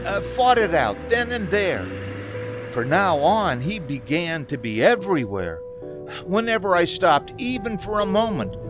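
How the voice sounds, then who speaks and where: A woman playing a frightened, haunted man driving alone in a radio play, halting and repeating words under strain. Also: fairly low pitch. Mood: shaken, dread. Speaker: community theater actor.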